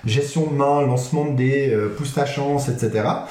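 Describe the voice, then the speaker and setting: A man's voice: mid-range voice, moderate pace, darkish tone. A man co-hosting a casual board game podcast, explaining at length with plenty of hesitations. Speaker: podcast co-host. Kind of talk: casual chat about board games. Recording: echoey room.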